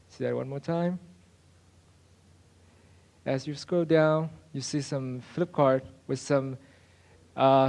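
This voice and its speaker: Young man presenting, halting and high-voiced. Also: darkish tone. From Venezuela. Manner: halting, many uh fillers and restarts. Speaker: student presenter. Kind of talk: project presentation.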